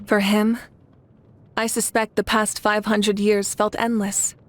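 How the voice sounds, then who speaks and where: An actress playing a calm, dignified, compassionate warrior leader in a video game, speaking in measured, earnest phrases. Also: female voice, mid-range voice, soft background music, natural voice. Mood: solemn, admiring. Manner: measured, earnest, poised delivery. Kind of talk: scripted video game voice acting.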